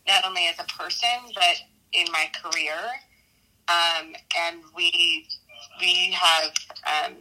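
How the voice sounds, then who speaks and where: A middle-aged American woman giving reflective interview answers as an educator, haltingly, often repeating and correcting herself. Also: lowish voice, sharp tone, poor audio. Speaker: math teacher and school dean.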